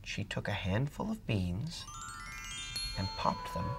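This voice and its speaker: A middle-aged man reading a children's storybook aloud, animated and dramatic. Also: on the low side, takes it slowly, creaky, loud music behind the voice. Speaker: storybook reader. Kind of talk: expressive storybook read-aloud.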